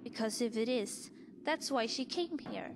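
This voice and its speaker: A woman's voice: fairly high pitch, expressive, measured pace. A woman voicing a plucky, inquisitive young boy in a video game, blunt in manner and Russian-accented. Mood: brisk. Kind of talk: video game character dialogue. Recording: loud music under the voice.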